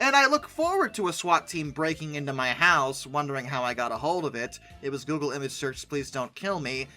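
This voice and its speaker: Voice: mid-range voice, pushed and bright. A person giving humorous reaction commentary in a sarcastic, exasperated manner. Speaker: host of a commentary video.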